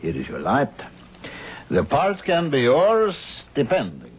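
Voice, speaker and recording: An actor playing a sinister, slyly commanding film director with a formal, deliberate manner in a radio drama. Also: male voice, mid-range voice, huge pitch swings, slightly rough, heavily acted. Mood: imperious, insinuating. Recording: muffled old radio broadcast, faint noise.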